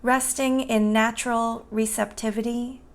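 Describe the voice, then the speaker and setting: A woman guiding a meditation in a soothing, gentle and unhurried manner. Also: on the high side, level tone. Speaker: meditation guide. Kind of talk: guided meditation narration.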